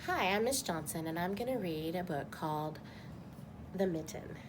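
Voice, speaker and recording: A woman's voice: on the low side, expressive, moderate pace, noisy background. A woman reading a picture book aloud to children as a storyteller. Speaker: guest storybook reader.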